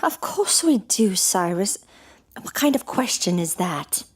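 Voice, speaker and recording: An actress playing a young sister in peril and possession in an audio horror drama. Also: female voice, mid-range voice, very animated, measured pace, husky. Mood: worried. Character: frightened young sister, later possessed by a haughty demon. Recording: music under the voice.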